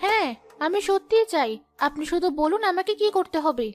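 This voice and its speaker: Woman voicing a very high-pitched young cartoon princess. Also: animated, a little fast, faint music behind. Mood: grateful, earnest. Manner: polite, questioning, earnest. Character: young exiled princess, gentle, earnest and grateful. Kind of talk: animated fairy-tale dialogue.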